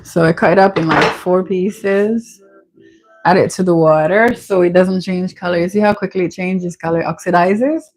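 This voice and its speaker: A woman's voice: fairly low pitch, fairly even intonation, moderate pace, darkish tone. A middle-aged woman with a Jamaican accent chats casually and candidly as she hosts a home cooking vlog, with a playful manner. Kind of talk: casual chatty cooking commentary. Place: home kitchen.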